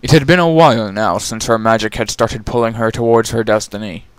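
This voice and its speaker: A man's voice: lowish voice, a little fast, clear, resonant tone, no echo. A man narrating a dramatic reading of a fantasy fan fiction story.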